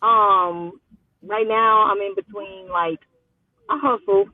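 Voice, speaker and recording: A woman's voice: mid-range voice, fairly even intonation, takes it slowly, noisy background. A woman in her twenties calling in, chatting casually in a flustered, evasive, slangy way. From Louisiana. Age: 20s. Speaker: caller. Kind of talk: casual phone chat. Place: phone call from a parked car. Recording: muffled, phone-like call audio.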